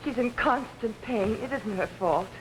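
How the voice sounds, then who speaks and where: An actress playing an ambitious, manipulative young pianist in early 1950s television drama, pleading in a melodramatic way. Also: female voice, a little high, moderate pace, close to an everyday voice. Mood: anxious pleading. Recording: noisy old 1950s TV soundtrack.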